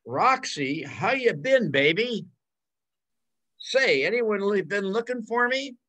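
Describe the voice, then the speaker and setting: Older man playing a high-pitched, lively radio-play crook. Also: slow pace, exaggerated voice. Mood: slick. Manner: slangy tough-guy talk. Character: shady cheating husband, tough hard-boiled crook. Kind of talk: 1940s radio drama acting. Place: home room, video call. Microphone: computer mic on a video call.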